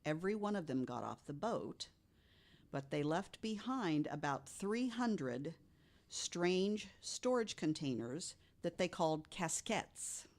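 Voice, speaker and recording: A low-voiced woman recounts tales in a conversational podcast style. She phrases things deliberately and leans on key words for emphasis. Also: big pitch swings, darkish tone. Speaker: podcast guest storyteller. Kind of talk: conversational storytelling of ghost stories.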